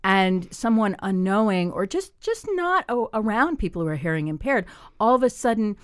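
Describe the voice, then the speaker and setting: A woman co-hosts a podcast with a mid-pitched voice, quick to agree and build on what others say. Her voice sounds dry and close to the microphone. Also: very animated, dry recording. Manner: engaged, quick to affirm and add. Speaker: podcast co-host. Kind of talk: conversational podcast interview.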